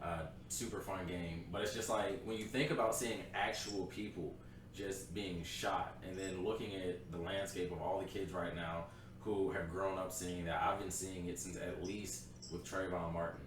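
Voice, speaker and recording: Low-voiced man, off mic in a hollow room. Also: fairly even intonation, normal speed. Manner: opinionated, blunt, laughs easily. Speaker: podcast co-host. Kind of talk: casual chat. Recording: very echoey room, far from the mic, faint noise.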